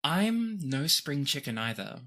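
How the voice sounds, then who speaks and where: An older female ship's captain, acted out by a woman game master in a tabletop game. She is weary and gentle, with a low voice and measured, hesitant phrasing. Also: very animated, exaggerated voice. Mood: resigned. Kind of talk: tabletop game role-play.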